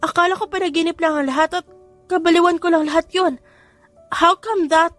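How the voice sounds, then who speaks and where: A woman voicing a flustered, apologetic young bride in an audio drama, startled and hurried. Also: extremely high pitch, fairly even intonation, a bit bright, dry recording, faint music behind, voice pushed up. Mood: shocked.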